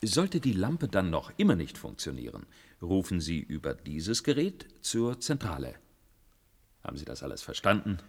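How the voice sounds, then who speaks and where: Casting: scheming technocrat, low voice with lively inflection, instructive, reverberant sci-fi radio play. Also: male voice, moderate pace, slightly rough, exaggerated voice. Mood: smooth, instructive. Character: calculating male technocrat official instructing a recruit. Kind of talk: science fiction radio drama acting. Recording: long reverberant hall sound.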